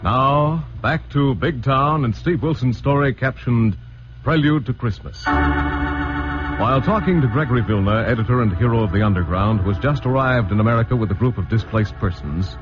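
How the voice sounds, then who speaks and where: A man's voice: mid-range voice, animated, normal speed, over music. A male announcer giving dramatic, polished 1940s radio drama narration. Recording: muffled old radio broadcast with faint hiss.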